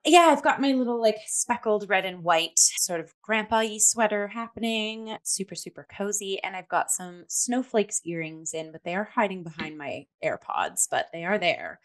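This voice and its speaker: A woman co-hosts a chatty arts review show. Her voice sits at an ordinary middle pitch, and she talks in a playful, gushing way, casual and conversational. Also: lively intonation, normal speed, few pauses. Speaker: podcast co-host.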